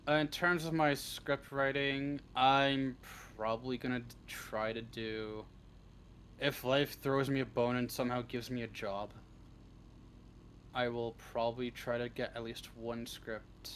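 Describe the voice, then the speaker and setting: A man's voice: medium pitch, steady tone, measured pace, fairly bright tone, a little hiss or hum. A man chatting casually as an interview guest, in a joking, self-deprecating way. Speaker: interview guest, script writer.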